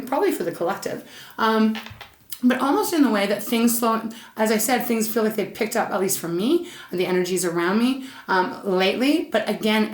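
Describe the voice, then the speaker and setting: A woman's voice: mid-range voice, reverberant. A woman giving a casual tarot reading, chatty and soothing, her voice a little scratchy and stuffed up. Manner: chatty, soothing, addresses listener as Saggy. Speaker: tarot reader.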